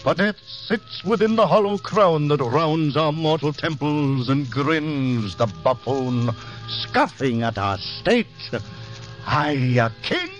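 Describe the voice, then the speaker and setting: Casting: tired, mad old tragedian, grand declamation, slow and higher-pitched, vintage radio play with music behind. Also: male voice, animated, rough recording. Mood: wistful, broken. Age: older. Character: tired, deranged old actor who believes himself a fallen king. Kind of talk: 1950s radio drama acting, declaiming Shakespeare.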